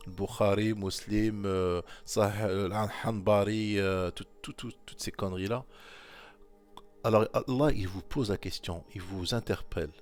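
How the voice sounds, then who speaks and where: A man with a fairly low voice talks through scripture verses in a loose, informal way. He keeps coming back to the same points and presses them on the listener, talking straight to them. Faint music plays quietly underneath. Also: fairly even intonation, unhurried. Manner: insistent, repetitive exhortation. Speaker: religious commentator.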